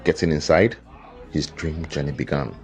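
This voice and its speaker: A man with an extremely deep voice narrates a folktale from a script. His delivery is measured and dramatic, in the manner of a storyteller. Faint guitar music plays behind him. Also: a little mellow. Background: background music with guitar.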